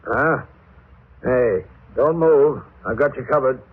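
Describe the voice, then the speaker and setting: A brusque small-town desk sergeant in old radio style. His voice is husky and unhurried, on a muffled vintage recording. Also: mid-range voice. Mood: alarmed, commanding. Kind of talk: old-time radio drama acting.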